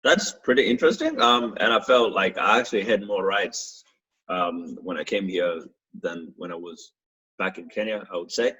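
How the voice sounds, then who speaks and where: Male youth worker from South Sudan; husky, low, hesitant delivery; dull recording. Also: normal speed, sharp tone. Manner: hesitant, many fillers and restarts. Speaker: youth programs coordinator. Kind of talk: panel discussion.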